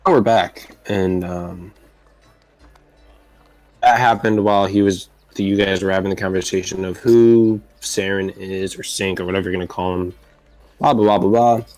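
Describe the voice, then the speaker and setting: Low-voiced man running a tabletop game. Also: fairly even intonation, a little mellow, no echo, soft background music. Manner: rambling, loose and halting. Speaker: game master.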